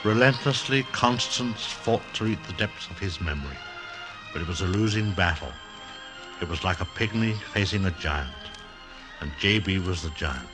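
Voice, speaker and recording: An actor narrating a classic radio drama as a grave, measured storyteller, in dramatic 1940s style. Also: male voice, low-pitched, lively intonation. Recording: old radio broadcast, prominent orchestral music.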